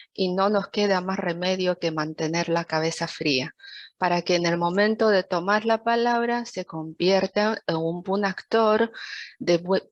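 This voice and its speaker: Female lecturer, native Chinese speaker, medium pitch. Didactic, measured reading of prepared material, recorded dry. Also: dry recording, muffled recording. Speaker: interpreter giving a talk. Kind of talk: prepared lecture, read from a script.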